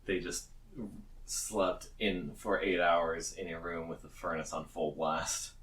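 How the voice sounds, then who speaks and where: A woman's voice: very deep voice, fairly even intonation, takes it slowly, a bit bright, poor audio. A woman casually narrating and commenting during a tabletop roleplaying game. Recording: faint background noise.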